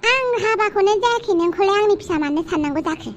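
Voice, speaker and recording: A woman's voice: very high-pitched, expressive, quick pace, very noisy. A woman voicing a proud, touchy sun queen in a cartoon, boastful and complaining. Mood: threatening, indignant. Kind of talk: cartoon dialogue.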